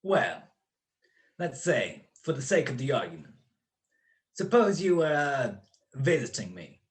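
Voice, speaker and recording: A man's voice: mid-range voice, very animated, unhurried. A man acting a smug, cold-blooded criminal mastermind in a radio play, with precise, mocking, grandiloquent speech. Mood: sly, smooth.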